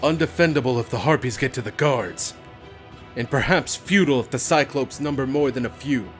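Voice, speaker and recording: A man playing a commanding, battle-hardened warden and mentor mage in a fantasy audio drama, with a booming delivery. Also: brisk, soft background music, lightly acted. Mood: grave.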